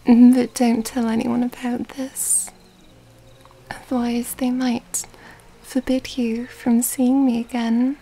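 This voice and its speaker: A woman acts out a mysterious vampire seductress in an intimate ASMR roleplay. Her high voice drops into soft, breathy whispers and stays very slow and fairly level in melody. She is teasing and quietly predatory. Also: flat delivery. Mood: playful, doting.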